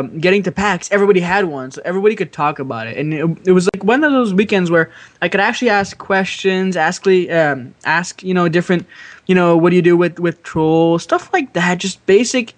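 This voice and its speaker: Higher-voiced man from Quebec, halting and slangy. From Quebec, Canada. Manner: halting, repeats words, slangy. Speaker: podcast co-host, gaming YouTuber. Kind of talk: casual chat.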